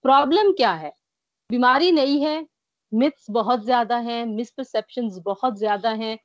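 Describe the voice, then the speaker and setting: Tired woman, slightly breathless with a light cough, earnest guest; higher voice, dry lo-fi video call sound. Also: moderate pace, dry recording. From Pakistan. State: mild cough. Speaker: guest recovering from covid. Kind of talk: remote interview. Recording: dry, dull lo-fi call audio.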